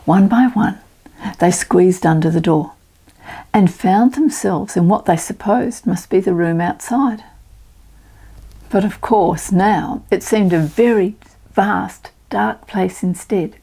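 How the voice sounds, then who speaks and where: An older woman reading a children's storybook aloud as a storyteller, expressive and dramatic. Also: mid-range voice, slow pace, creaky, husky.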